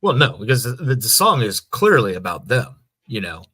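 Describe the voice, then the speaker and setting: An American man co-hosting a podcast, explaining things in a casual, loose and halting way. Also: mid-range voice, very animated, bright, forceful tone, slightly rough. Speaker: podcast co-host. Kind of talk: casual chat.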